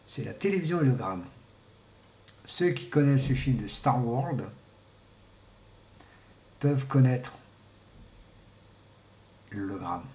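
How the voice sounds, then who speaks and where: A man giving an informal, rambling monologue to viewers, speaking haltingly and often repeating words and restarting. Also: mid-range voice, expressive, a little mellow. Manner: often clears throat. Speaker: vlogger. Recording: echoey room with faint background noise.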